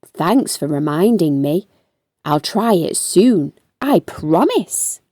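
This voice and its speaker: A woman plays an impulsive little boy ninja in a storybook. He sounds earnest and a bit hesitant, his pitch moving around a lot, with a soft, mellow edge. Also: very animated, takes it slowly, no echo, studio quality, full character voice. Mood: grateful, earnest. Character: impulsive young boy ninja, easily upset but earnest. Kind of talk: children's storybook character voice.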